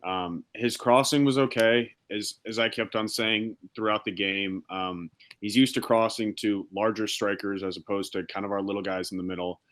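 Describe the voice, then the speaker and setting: A man's voice: fairly low pitch, fairly even intonation, normal speed, a bit bright. A young man co-hosting a casual sports chat, blunt and opinionated, swearing now and then. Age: young adult. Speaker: podcast co-host.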